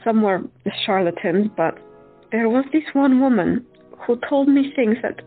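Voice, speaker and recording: A woman's voice: fairly high pitch, animated, unhurried, slightly rough, soft background music. Woman playing an earnest, devoted doctor. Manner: tender, earnest. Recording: phone-like lo-fi.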